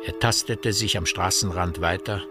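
A man narrating a radio drama as a literary storyteller, in a measured manner. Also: low voice. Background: music.

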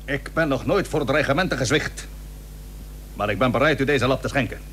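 An actor playing a plucky, stubborn young seafarer and merchant in a period radio drama, speaking earnestly in formal old-fashioned phrases. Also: male voice, medium pitch, brisk, fairly bright tone, close to an everyday voice. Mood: firm, unyielding. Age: young adult. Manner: earnest, formal archaic speech. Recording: noisy old radio recording with hum.

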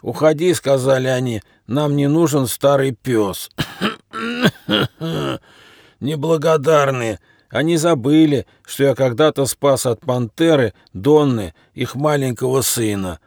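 A hungry old stray dog grumbles in a wheezy voice, coughing between phrases. He is bitter and sorry for himself, and his speech is halting and plaintive. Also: medium pitch, gravelly, darkish tone, no room sound, studio quality, pitched above the performer's own voice.

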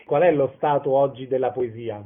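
A man's voice: mid-range voice, normal speed. A man interviewing a guest with short questions, heard in narrow, telephone-like sound.

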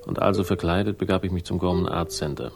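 An actor playing a wry, world-weary private investigator who narrates a crime radio drama in a deadpan, sardonic way. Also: male voice, low voice, fast, gravelly, over music. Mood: dry irony.

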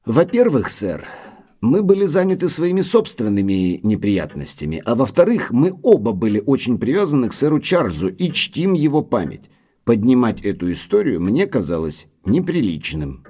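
An actor playing a loyal, discreet middle-aged butler in an audio drama, speaking in a measured, respectful, formal way. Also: male voice, medium pitch, sing-song, mellow. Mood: humble, apologetic.

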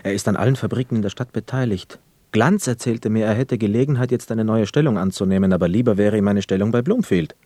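An actor playing a reflective, wary man back from war, narrating a German radio play in measured literary phrases. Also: lowish voice, mellow, no echo, close to an everyday voice. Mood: uneasy. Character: young war returnee, reflective, wary narrator of hotel life.

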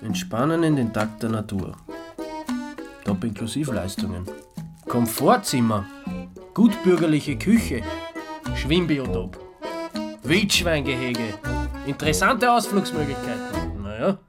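A woman's voice: extremely low pitch, sing-song, unhurried, long pauses, over music, heavily acted. An actress playing a skeptical woman reading out holiday brochure slogans in a radio drama. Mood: declaiming.

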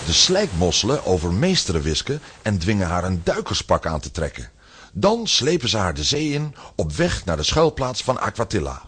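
A man's voice: sits low, huge pitch swings, moderate pace, a bit bright, breathy edge. A man narrating a scripted children's audio story as a storyteller.

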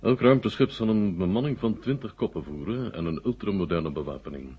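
An actor playing a proud, businesslike Soviet marshal in a 1970 radio drama, speaking with measured, formal authority. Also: male voice, low-pitched, lively intonation, slight background noise. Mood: proud, commanding. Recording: dull vintage 1970 radio recording.

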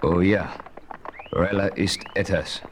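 An actor as the first-person frontier narrator of a 1970s radio play, clear and matter-of-fact. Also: male voice, low voice, steady tone, slow, background noise. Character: adventurous frontiersman narrator, man.

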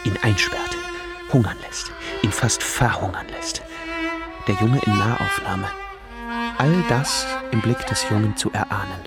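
An actor playing a brooding narrator in a radio drama, reciting terse screenplay directions in a deliberate manner. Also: male voice, lowish voice, sing-song, over loud music. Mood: somber.

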